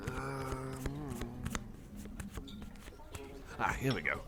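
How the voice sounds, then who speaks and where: A man's voice: mid-range voice, sing-song, very slow, very noisy. A man voicing a shy, nerdy, eager cyborg card collector in an ASMR roleplay, chatting casually in a hesitant, rambling, apologetic way. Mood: nerdy enthusiasm. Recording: cafe crowd murmur behind the voice.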